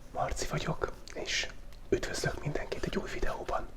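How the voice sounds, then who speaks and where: A man hosting a relaxed ASMR drawing video, whispering in a loose, playful way and thinking aloud as he sketches, close to the microphone. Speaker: channel host. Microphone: close studio microphone picking up pen tablet.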